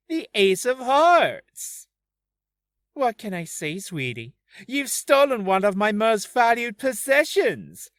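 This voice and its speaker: A man's voice: high voice, sharp tone, dry recording, clean studio sound, voice pushed up, exaggerated voice. A man voicing a flamboyant, vain circus magician in a video game, theatrical and boastful. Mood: flirty, showy. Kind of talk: video game character acting.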